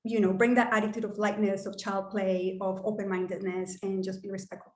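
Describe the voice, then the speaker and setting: A woman's voice: medium pitch, little pitch movement, fairly quick. Workshop host: a woman with a Colombian accent, native Spanish speaker, casual and upbeat, in a reverberant room. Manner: casual and upbeat, with occasional swearing. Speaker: workshop host and wealth coach. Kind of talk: online workshop talk.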